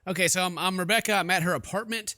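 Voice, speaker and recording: Bright-voiced, slightly high male gamer. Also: fairly even intonation, fast, dry recording, studio quality. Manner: joking, theatrical asides. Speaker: gaming YouTuber. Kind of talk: let's play commentary, reading game text aloud.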